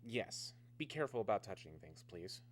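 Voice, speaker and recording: An actor in a radio drama playing a businesslike security officer, clipped and matter-of-fact. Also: male voice, fairly low pitch, sing-song, normal speed, slight background noise. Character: businesslike male security officer at atomic research camp.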